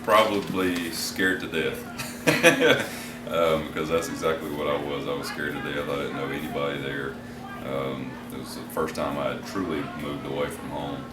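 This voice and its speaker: A man, a country singer, giving measured, hesitant interview answers backstage at a concert venue with frequent fillers. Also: very deep voice, steady tone, loud music behind the voice. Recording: echoey, distant mic, loud background noise.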